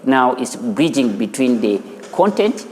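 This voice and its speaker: A man's voice: medium pitch, sing-song, a little slow, big-room sound. A man with a Ugandan accent, speaking as the founder of an animation studio in a filmed profile interview. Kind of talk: interview and studio tour for a news feature. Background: music.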